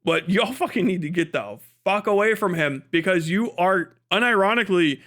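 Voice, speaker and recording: Male streamer, high voice, profane and opinionated, casual emphatic commentary. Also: expressive, normal speed, fairly bright tone. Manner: profane, emphatic, opinionated, repeats words for stress. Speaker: livestream host.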